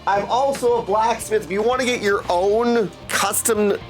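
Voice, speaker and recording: A high-voiced man hosts a game livestream over soft music. He talks casually to his viewers, jumping from one reply to the next and leaning on catchphrases. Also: normal speed. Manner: chatty, hops between chat replies, repeats catchphrases. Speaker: livestream host playing a video game; voice actor and audiobook narrator. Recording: music playing behind the voice.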